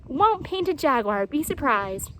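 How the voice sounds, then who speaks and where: A woman's voice: high-pitched, very animated, takes it slowly, a bit bright, slight background noise, heavily acted, rough recording. A woman voicing a chatty, eager male hedgehog in a storybook, fussy, polite and pleased with himself. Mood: encouraging, fussy.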